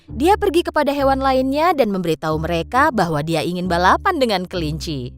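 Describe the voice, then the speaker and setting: A woman narrating a scripted children's fable in an expressive storytelling style. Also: mid-range voice, fairly quick, soft background music.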